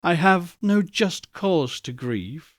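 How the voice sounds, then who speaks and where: Man voicing a soft, high-pitched sorrowful young woman. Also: lively intonation, no room sound, studio quality. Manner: soft, measured. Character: gentle, sorrowful young woman, quietly resolute.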